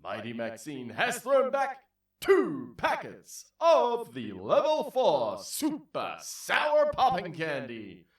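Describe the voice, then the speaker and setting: An actor playing a showy, sadistic game show host in an audio drama parody, with theatrical drawn-out announcing. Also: male voice, high voice, huge pitch swings, far from the mic, full character voice. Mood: showy, teasing. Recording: roomy echo, off the mic.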